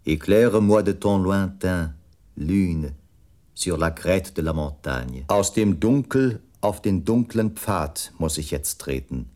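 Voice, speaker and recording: A solemn male reciter in an experimental radio play. The voice is very deep. Each phrase is measured out slowly and deliberately. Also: lively intonation, a little mellow.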